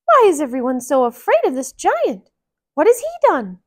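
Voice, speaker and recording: A woman's voice: high-pitched, wildly swooping pitch, normal speed, voice pushed up. A woman voicing a brave, spirited young male woodcutter in a children's storybook. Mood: startled.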